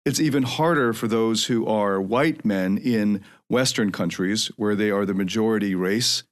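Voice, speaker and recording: A man's voice: lowish voice, moderate pace. Reflective man in his forties, thinking aloud. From North America. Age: 40s. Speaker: podcast host. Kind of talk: solo podcast monologue.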